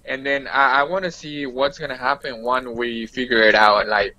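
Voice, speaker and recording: A man giving casual game impressions as a podcast guest, in loose, halting phrases peppered with "like". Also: mid-range voice, steady tone, sharp tone. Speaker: guest player on a gaming podcast. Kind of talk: casual game analysis chat. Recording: dull lo-fi audio in a roomy space.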